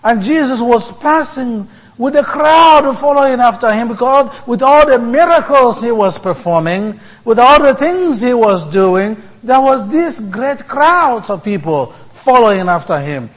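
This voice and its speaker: A man giving a sermon as a priest, speaking in short, deliberate phrases with many pauses. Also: very high voice, lively intonation, rough recording.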